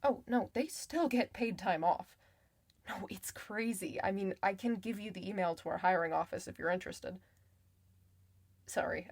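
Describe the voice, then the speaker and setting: Woman playing caring female clinician, ASMR roleplay, mid-range pitch, gentle soft speech, reassuring, informal bedside chat. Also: close to an everyday voice. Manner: soft-spoken, reassuring, chatty bedside manner. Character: kind, reassuring woman clinician at a werewolf check-up. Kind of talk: ASMR medical roleplay addressed to the listener.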